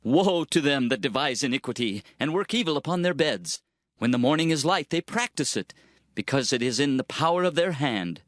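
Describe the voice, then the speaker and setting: A man's voice: mid-range voice, animated, normal speed, dry recording, studio quality, natural voice. A man playing an impassioned prophet in a dramatized scripture reading, declamatory and theatrical as he laments and warns his people, with music behind. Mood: urgent warning. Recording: music under the voice.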